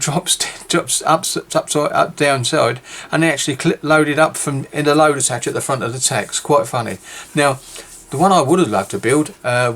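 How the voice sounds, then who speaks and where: A man's voice: medium pitch, fairly bright tone. A man with a British accent giving a casual, rambling walkthrough of a model kit, often correcting himself, his voice a little worn. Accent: British English. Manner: rambling, self-correcting, chatty. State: losing his voice. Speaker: scale model builder. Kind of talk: casual kit review video.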